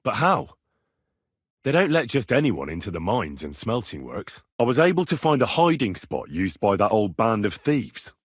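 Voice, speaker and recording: A man's voice: fairly low pitch, big pitch swings, normal speed, breathy edge, dry recording, studio quality. A man acting as a plain-spoken, righteous young medieval man in video game dialogue, direct and earnest in manner. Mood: earnest, matter-of-fact. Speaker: voice actor.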